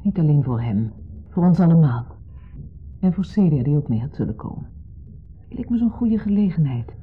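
A woman playing a conciliatory wife in a 1970s radio play, placating and earnest, sometimes hushed. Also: low voice, very animated, normal speed, breathy edge, heavily acted. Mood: apologetic, pleading. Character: conciliatory wife caught between husband and ex. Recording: muffled phone-like old radio recording.

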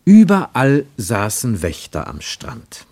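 A man narrating a radio-play travelogue in a measured, expressive storytelling manner. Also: medium pitch, no room sound.

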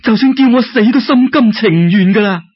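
A lovesick young male scholar, as a storyteller puts him on, speaks high and urgently with a Cantonese accent. He is impassioned and beseeching, his husky voice swooping through a storybook romance on a lo-fi recording. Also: normal speed. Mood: ardent. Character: lovesick, fearless young scholar, pleading ardently. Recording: dull lo-fi radio-like recording.

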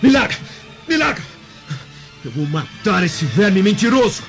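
A man's voice: high-pitched, big pitch swings, measured pace, soft background music, heavily acted. A male actor playing a furious, wronged and defiant slave in an audio drama. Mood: enraged. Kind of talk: dramatized audio novel acting. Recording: dull lo-fi radio drama recording.

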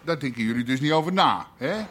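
An actor playing a gruff, hot-tempered underworld boss in a radio drama, cursing and impatient. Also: male voice, medium pitch, lively intonation, fast, fairly bright tone. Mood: grumbling, demanding.

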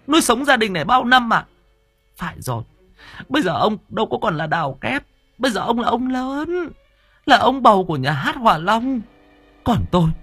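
A man's voice: extremely high pitch, sharp tone, husky, no room sound, pitched above the performer's own voice, exaggerated voice. A man voicing a tearful middle-aged wife and mother in an audio story, pleading in repeated wails. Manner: wailing, repetitive pleading. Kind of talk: acted dialogue in audio storytelling.

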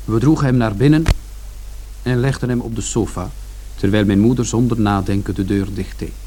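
Reflective son narrating played by an actor. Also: male voice, lowish voice, a little fast, dark tone, a little hiss or hum, close to an everyday voice. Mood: matter-of-fact, sombre. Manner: reflective, storytelling. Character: George, reflective younger son recalling his family's bleak Saturday. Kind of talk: scripted first-person narration in radio drama.

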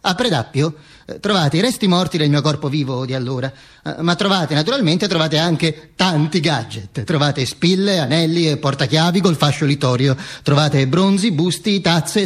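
An actor playing a dead, vain fascist dictator who narrates his own afterlife in a halting, mock-solemn stage monologue. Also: on the high side, husky. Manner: halting, stammering repetitions, mock-solemn declaiming. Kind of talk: theatrical monologue, narrating to an audience.

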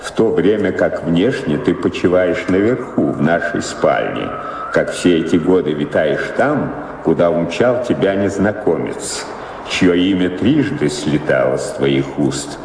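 An actor playing a dry, philosophical servant scribe in a radio drama, formal and deliberate. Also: male voice, deep voice, sing-song, gravelly, full character voice. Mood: solemn, reciting. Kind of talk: theatrical radio drama acting. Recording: reverberant hall, background noise.

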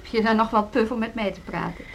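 An actress playing a composed, determined woman in a naturalistic radio drama, speaking in a measured, guarded way. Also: fairly high pitch, steady tone, slight background noise, lightly acted. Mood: guarded, concerned.

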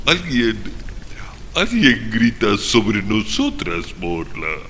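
A man in a radio drama voicing an ancient, apathetic female giant creature, halting and hesitant as it talks of itself as "we". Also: on the low side, wildly swooping pitch, bright, forceful tone, heavily acted. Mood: listless, grumbling. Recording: long cavernous reverb, background noise.

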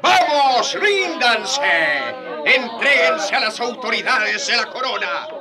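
A merciless colonial officer in a radio drama. He gives orders in a very high, animated voice over clearly audible music. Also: slow pace, heavily acted. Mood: commanding.

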